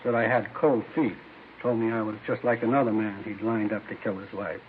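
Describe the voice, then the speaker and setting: A man's voice: lowish voice, level tone, lightly acted. Man acting an uneasy informant, hesitant, tinny sound. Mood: uneasy, earnest. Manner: hesitant, halting, earnest. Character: uneasy, down-on-his-luck man informing police of murder plot. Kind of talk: 1950s radio drama acting. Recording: hissy, tinny old radio recording.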